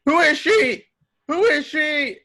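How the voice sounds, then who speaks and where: Man playing very high-pitched excitable henchman. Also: takes it slowly, full character voice. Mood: startled. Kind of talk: amateur table read of a film script.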